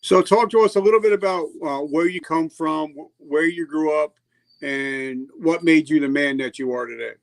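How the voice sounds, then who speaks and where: Gravelly-voiced man hosting a podcast. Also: a little high, normal speed, poor audio. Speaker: podcast host. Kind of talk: conversational interviewing.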